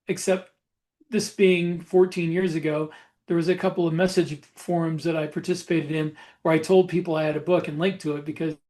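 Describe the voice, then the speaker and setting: A man in a casual podcast conversation, telling stories about his own career in a halting, reflective way. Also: on the high side, darkish tone. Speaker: podcast host and self-published author.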